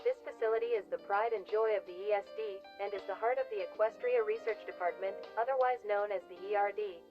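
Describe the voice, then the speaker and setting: Brisk lo-fi corporate promo voiced by a woman. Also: medium pitch, over music, natural voice. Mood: polished, inviting. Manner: polished, formal. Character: polished female corporate recruitment announcer in horror cartoon. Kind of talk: scripted promotional narration. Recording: dull lo-fi promo video audio.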